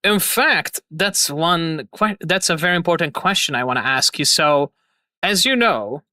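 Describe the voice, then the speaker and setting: Bright-voiced young man hosting a chat. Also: on the high side, measured pace, no echo, studio quality. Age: 20s. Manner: laughs often, rambling and excited. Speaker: host. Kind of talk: casual chat on a livestream.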